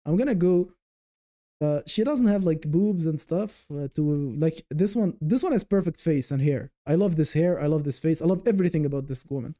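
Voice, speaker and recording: Guy with a super dark mellow voice rambling on his livestream, thinking out loud. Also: fairly high pitch, brisk. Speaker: livestream host. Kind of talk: casual livestream chat with viewers.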